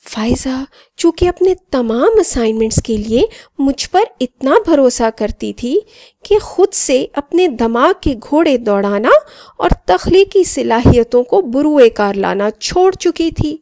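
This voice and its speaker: A woman voicing a friendly, boastful talking mobile phone that narrates and moralizes to children in an expressive storybook style. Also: sits high, no room sound. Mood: concerned recounting.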